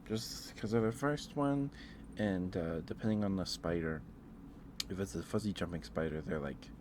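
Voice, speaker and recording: A man hosting an ASMR livestream, chatting casually in a halting, rambling way with pauses and repetitions. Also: low-pitched, background noise. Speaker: livestream host.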